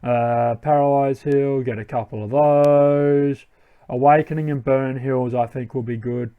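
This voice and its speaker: A man's voice: mid-range voice, fairly even intonation, unhurried, clean tone, phone-quality sound. A young man hosting a let's play, giving casual commentary and thinking aloud with many ums.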